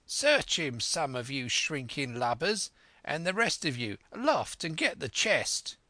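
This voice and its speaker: A man voicing a blind old pirate villain in an audiobook, shouting furious orders and curses in old sailor talk. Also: medium pitch, fairly even intonation, slow pace, no room sound. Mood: furious, urgent.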